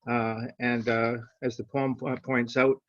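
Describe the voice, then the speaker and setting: Slow-spoken older man, dull audio. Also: mid-range voice, little pitch movement, no room sound. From Canada. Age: 70s. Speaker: poet reading own work. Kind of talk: informal introduction and measured poetry reading. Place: online video call. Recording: dull, lo-fi online call audio.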